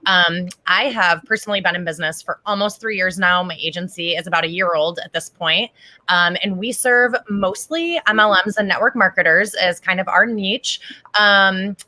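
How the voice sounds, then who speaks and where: A woman's voice: medium pitch, normal speed, pushed and bright, no room sound. A woman agency owner introducing herself on a video call, cheerful and full of "um"s. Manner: cheerful, filler-heavy.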